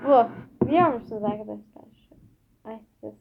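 A woman's voice: fairly high pitch, normal speed, creaky, lo-fi sound. A woman hosting a playful guessing game, chatting casually with viewers and a child in a coaxing, teasing way. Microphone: phone held by the speaker. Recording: noisy home phone recording with clicks.

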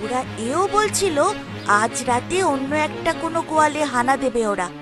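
A woman's voice: high voice, lively intonation, moderate pace. A woman voicing a brave, determined young village cowherd boy in a children's cartoon, with earnest, dramatic delivery. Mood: resolute. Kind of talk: Bengali children's cartoon dialogue. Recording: music behind the voice.

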